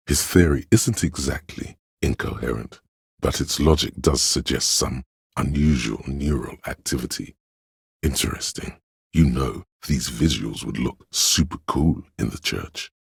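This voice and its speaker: A man voicing a wry, pretentious inner voice of artistic thought in a video game, narrating with measured, droll pomposity and a very deep, gravelly, husky delivery. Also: no echo, studio quality, heavily acted.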